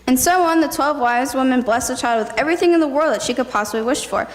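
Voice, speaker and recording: Female narrator for staged fairy tale, slightly high voice, recorded in a ringing hall. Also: fast. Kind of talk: scripted fairy-tale narration, readers theatre. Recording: noisy hall with long reverb.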